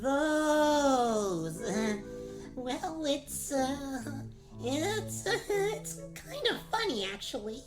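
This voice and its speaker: A woman plays a hapless little brother in a comic radio play. The voice is high and lively, sheepish and whiny, rambling along slowly and hesitantly. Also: over music, heavily acted, poor audio. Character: dim, sheepish, hungry young boy, whiny excitable brother. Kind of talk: comedic gag-dub radio play acting.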